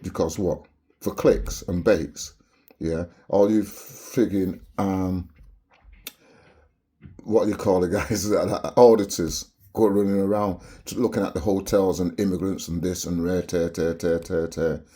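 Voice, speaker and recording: A man's voice: low voice, fairly even intonation, unhurried, warm tone, slightly rough. A Barbadian man delivering an impassioned, preachy monologue to camera, peppering his points with rhetorical "yeah?" tags. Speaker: vlogger.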